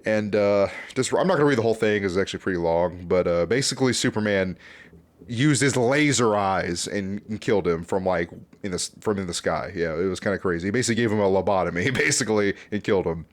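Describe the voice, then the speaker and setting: A man's voice: fairly low pitch, quick pace. A man hosting an online video, giving casual, off-the-cuff commentary in a chatty, informal, rambling way. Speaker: YouTube host reviewing fan videos.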